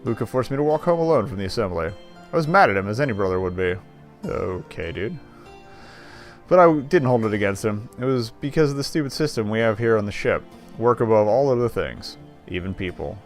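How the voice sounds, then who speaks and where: A man's voice: fairly low pitch, animated, fairly quick. A man streaming a game, reading visual novel narration aloud expressively with wry casual asides. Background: game music.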